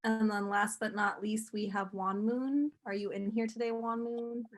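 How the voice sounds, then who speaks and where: A woman's voice: on the high side, steady tone, normal speed. A young woman, a student presenter and host, introducing speakers and reading prepared remarks aloud. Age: young adult.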